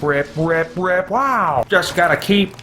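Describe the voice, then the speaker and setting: A man's voice: high-pitched, expressive, slow pace, sharp tone, slight background noise. A sleepy man live streaming games, babbling in a silly, sing-song, off-the-cuff way as he fights to stay awake.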